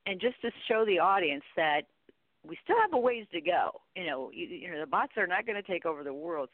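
A woman's voice: on the low side, dry recording. Middle-aged woman, expert interview guest. Conversational storyteller who acts out quotes, heard over a narrow, dry phone connection. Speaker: guest expert, training company chief executive.

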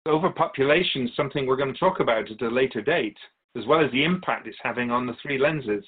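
A man playing a calm otherworldly spirit guide in a radio drama, explaining things in a measured, quizzical way as an interview guest. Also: mid-range voice, husky, narrow, tinny audio, close to an everyday voice. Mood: explanatory, playful. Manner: measured, explanatory, quizzical about human speech.

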